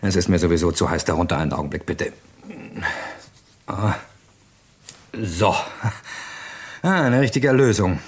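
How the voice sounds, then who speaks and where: An actor playing a suave, evasive gentleman secret agent in a radio crime drama, with an urbane and unflustered way of talking. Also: low-pitched, normal speed, long pauses, slightly rough. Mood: relieved, chatty.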